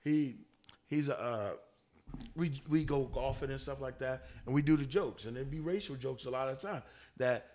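A man in his forties co-hosting a casual podcast, talking in an easygoing, animated way with a slightly congested voice. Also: medium pitch, moderate pace. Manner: animated, joking, breaks into laughter. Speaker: podcast co-host. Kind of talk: casual chat.

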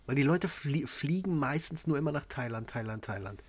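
A man's voice: mid-range voice, lively intonation, a little fast, breathy edge. A man hosting a podcast in a casual chat, asking questions conversationally. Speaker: podcast host.

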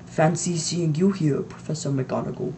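A reader voicing a gentle, calm old male wizard with a mildly amused manner. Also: medium pitch, moderate pace, a little hiss or hum, lightly acted. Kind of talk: audiobook reading.

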